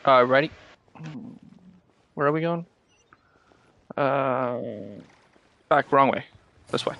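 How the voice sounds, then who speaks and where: A man's voice: medium pitch, steady tone, takes it slowly, fairly bright tone, a little hiss or hum. A man casually commenting as he streams a game, sometimes reading the dialogue aloud.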